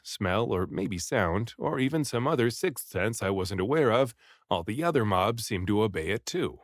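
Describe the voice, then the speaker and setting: Male audiobook narrator, highly varied pitch, dramatic delivery, very dry studio-like sound. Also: no echo. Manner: dramatic, animated delivery with acted dialogue. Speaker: read-aloud narrator and voice actor. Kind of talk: expressive first-person audiobook storytelling.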